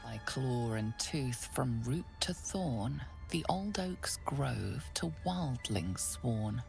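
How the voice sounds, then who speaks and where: A woman playing a mysterious, grave narrator in a fantasy video game, reading in a hushed, measured way. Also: rumbling low, animated, creaky, faint music behind, full character voice. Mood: hushed mystery.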